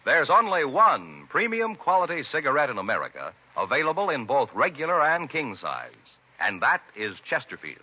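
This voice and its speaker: A man's voice: a little high, wildly swooping pitch, normal speed. A man delivering scripted 1950s radio announcements in a formal, emphatic way. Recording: dull, husky-sounding vintage radio recording.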